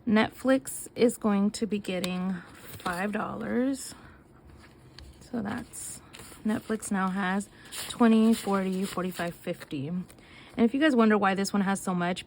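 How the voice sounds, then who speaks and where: A woman's voice: mid-range voice, darkish tone, slight background noise. A young woman vlogging about her budget as she counts cash aloud, sounding nasal and short of breath from illness. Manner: counts bills aloud in quick chatty bursts. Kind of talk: casual chat while counting cash.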